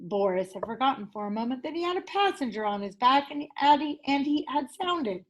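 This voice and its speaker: A woman, a teacher, narrating a children's picture book aloud in an expressive storytelling style. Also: high-pitched, brisk, dull audio.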